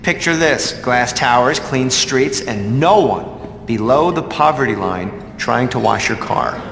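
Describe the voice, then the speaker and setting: Smug executive in an echoey hall. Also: male voice, mid-range voice, unhurried, vocal fry, fairly bright tone. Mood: confident, showy. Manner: polished, arrogant salesman delivery. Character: smug, ambitious male corporate executive. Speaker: corporate executive. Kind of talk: video game cutscene acting. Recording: echoey hall, faint background noise.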